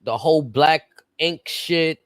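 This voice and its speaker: A man's voice: fairly high pitch, slow pace, clean tone, dry recording. A man in casual podcast chat, blunt and profane, arguing his points. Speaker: podcast co-host.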